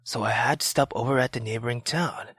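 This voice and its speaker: A woman voicing an irritated, weary aristocratic husband in a low, restrained, exasperated way for a visual novel. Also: fast talker, breathy edge, no room sound. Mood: defensive, strained.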